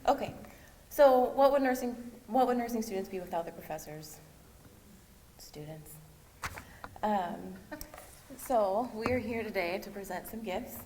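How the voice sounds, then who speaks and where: A woman with a soft voice giving a nervous, hesitant speech full of ums. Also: medium pitch, reverberant, slight background noise. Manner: hesitant, halting, with many ums. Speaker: nursing graduate giving a speech.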